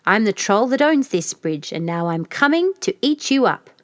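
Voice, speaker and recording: A woman voicing a great, bad-tempered male troll in a storybook, with a loud, blustering shout. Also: medium pitch, very animated, moderate pace, no echo, clean studio sound, natural voice. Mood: greedy. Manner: menacing, blustering. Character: great terrible grey male troll, bellowing bully.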